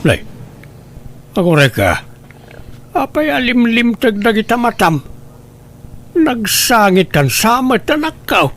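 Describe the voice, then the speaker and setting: A man playing an older village father, worried and inquisitive, with drawn-out questioning lines in radio drama style. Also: extremely high pitch, sing-song, fairly bright tone, heavily acted. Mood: surprised, concerned.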